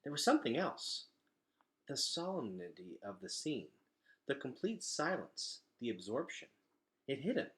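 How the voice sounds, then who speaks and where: A man reading a children's novel aloud as an expressive storyteller. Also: mid-range voice, a little slow.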